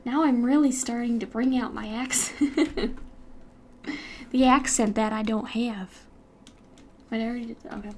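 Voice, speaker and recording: A woman's voice: fairly high pitch, steady tone, normal speed, long pauses, a little mellow, a little hiss or hum. A young American woman chatting casually and rambling while she works on an animation, with lots of giggles. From United States. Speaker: YouTuber animating while streaming. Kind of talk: casual chat while working.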